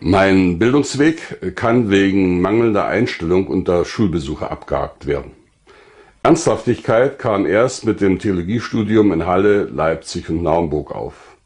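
A man's voice: deep voice, a little mellow. An older man in his sixties or beyond, from eastern Germany, reading a scripted autobiographical narration. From Lusatia, eastern Germany. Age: 60s or older. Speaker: writer and former pastor telling his own story.

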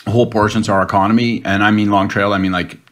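A man's voice: lowish voice, level tone, fast. A man on a political panel over a video call, talking at length in a thoughtful way with frequent restarts.

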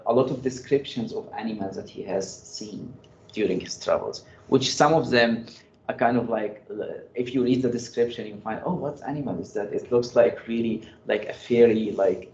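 A man's voice: lowish voice, a little mellow. A man presenting his graduate research and answering audience questions, hesitant, with many fillers and restarts. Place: lecture room. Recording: echoey, dull room sound.

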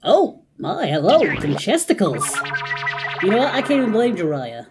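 A woman's voice: medium pitch, sing-song, moderate pace, music in the background. A woman hosting a reaction video in casual commentary, exclaiming and often repeating her phrases. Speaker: host of a reaction channel.

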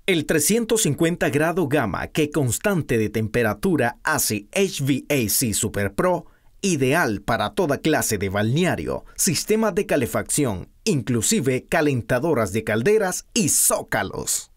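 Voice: medium pitch, big pitch swings, a little slow. A narrator reading scripted product instructions in a stiff, read-out manner.

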